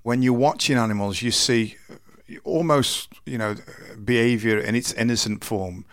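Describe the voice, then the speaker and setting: A man in his forties with a deep voice, telling his life story slowly and earnestly in an interview, often restarting his sentences. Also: a bit bright, no echo. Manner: slow, halting, earnest storytelling with many restarts. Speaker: interview guest. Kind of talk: candid personal interview.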